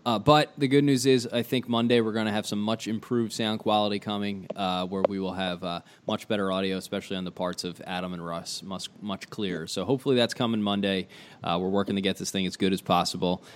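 A man hosting a casual sports podcast, tired from little sleep, with a deep morning voice and a chatty, loose way of talking. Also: steady tone, quick pace. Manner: chatty, loose, many fillers. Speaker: podcast host.

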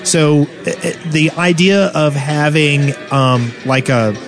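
A man with a fairly high-pitched voice co-hosts a casual group podcast. He speaks conversationally, with music clearly playing behind him. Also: slow. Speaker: podcast co-host. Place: indoors at a LAN party.

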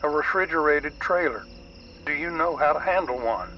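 A man's voice: a little high, expressive, normal speed, close to an everyday voice. An actor playing a folksy, evasive and dismissive male freight boss in an audio drama, heard over a distant call. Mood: brisk, testing. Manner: folksy, noncommittal. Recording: distant phone call, faint ambient noise.

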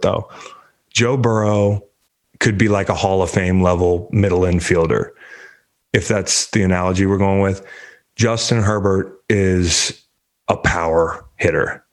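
Low-voiced man in profane sports chatter. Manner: profane, rambling, joking. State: congested. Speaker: sports show host. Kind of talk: casual sports talk.

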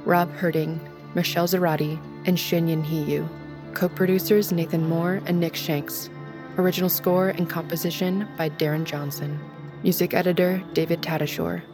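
A woman announcing credits as scripted narration. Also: on the low side. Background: music.

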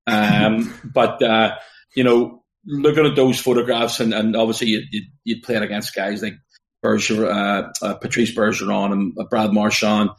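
A man, co-hosting a podcast with teasing questions and plenty of ums. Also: lowish voice. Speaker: podcast co-host. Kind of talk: casual podcast interview.

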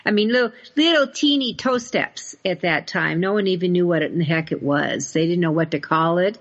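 A woman's voice: fairly low pitch, moderate pace. A woman hosting a podcast interview in a folksy, emphatic, conversational way. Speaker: podcast host. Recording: dull lo-fi online audio.

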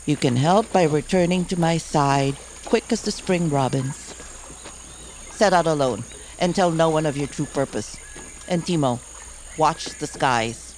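Slow, solemn old elder. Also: male voice, on the high side, a little hiss or hum, poor audio. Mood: fond, solemn. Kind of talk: audio drama acting.

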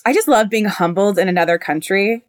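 A woman's voice: mid-range voice, a little fast, no room sound, clean studio sound. A young woman from the United States hosting a podcast, chatting bluntly and playfully with casual swearing. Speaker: podcast host. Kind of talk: casual chat.

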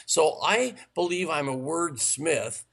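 A man whose voice is heavily gravelly and husky, worn raspy from days of talking. He sits a little higher than most men and lays out advice point by point in a steady, earnest way. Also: slow. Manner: earnest, instructive, listing points. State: raspy, tired voice. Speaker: sales trainer guest. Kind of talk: interview answers, advice.

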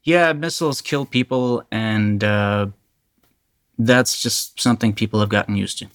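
Low-voiced man giving a halting account. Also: clear, resonant tone. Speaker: journalist being interviewed. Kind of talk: candid first-person interview account.

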